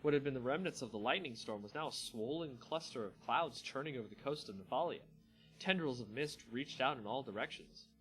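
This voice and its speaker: Expressive man narrating over music. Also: medium pitch, poor audio. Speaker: channel host and story reader. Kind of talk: dramatic scripted narration with casual asides.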